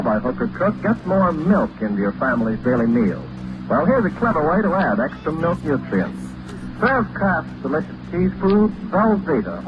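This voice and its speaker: An American man delivers a vintage radio commercial with hugely varied, swooping intonation. The recording is dull and old, with clear music behind him. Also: on the high side. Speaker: commercial announcer. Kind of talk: scripted old-time radio advertising read.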